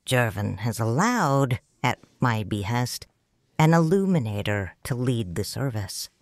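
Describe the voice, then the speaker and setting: A woman narrating a first-person audio drama as a grieving, proud noble widow, in a measured, solemn, formal manner. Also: extremely low pitch, very animated, no room sound, studio quality.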